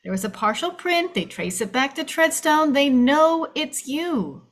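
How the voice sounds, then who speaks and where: A woman's voice: high voice, lively intonation, unhurried. A woman playing a frightened young agency staffer, pleading and defensive, in a screenplay table read. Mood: anxious, explaining.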